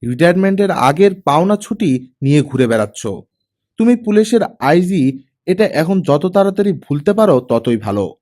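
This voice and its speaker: A man's voice: on the high side, very animated, normal speed, a little mellow, voice pushed up. A man voicing a stern wife in an audiobook drama, speaking in a cold, scolding, cutting manner. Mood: cold, sharp. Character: stern, sharp-tongued wife of a retired officer.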